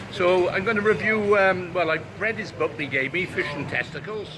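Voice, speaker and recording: A man's voice: high-pitched, normal speed, poor audio. Chatty older Welsh-born man on a busy street. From Wales. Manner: chatty, laughs often, many ums and uhs. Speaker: interviewer. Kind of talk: casual chat. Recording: outdoor street recording with crowd noise.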